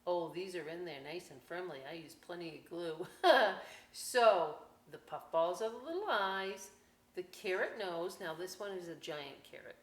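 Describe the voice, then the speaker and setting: A woman's voice: lowish voice, animated, a little slow. A woman hosting a children's library story time and craft demonstration, talking in a folksy, playful way with chatty asides to young viewers. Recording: echoey room, some background noise.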